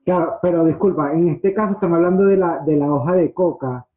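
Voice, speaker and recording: Phone-like, higher-pitched male host. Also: fairly quick. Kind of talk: casual webinar talk. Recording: thin phone-like online call audio.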